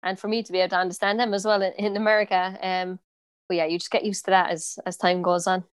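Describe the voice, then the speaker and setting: Woman with a strong Cork accent, clear and dry. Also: mid-range voice, fast, dry recording. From Ireland. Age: 20s. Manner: relaxed, many fillers and restarts. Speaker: interviewee, footballer. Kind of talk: casual interview.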